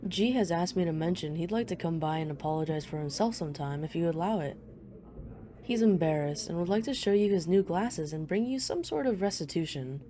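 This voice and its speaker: Woman playing a low, mellow, teasing female mafia boss. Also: slight background noise. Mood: coolly teasing. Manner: playful, smug, flirtatious banter. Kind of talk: ASMR roleplay, one-sided dialogue.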